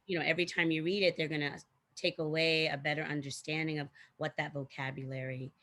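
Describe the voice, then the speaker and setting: Low-voiced woman talking things through casually. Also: little pitch movement, fast talker, fairly bright tone. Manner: thinks aloud, frequent "like" and "you know". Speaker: guest, teacher and diversity consultant. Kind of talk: casual conversational explanation.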